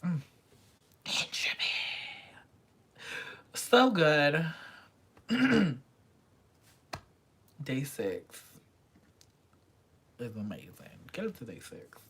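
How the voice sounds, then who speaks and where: Low-voiced, gushing young woman fan. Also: huge pitch swings, very slow, long pauses, breathy edge. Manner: chatty fangirl, gushing, drops into quiet whispers. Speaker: K-pop album reviewer. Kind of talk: casual music review vlog.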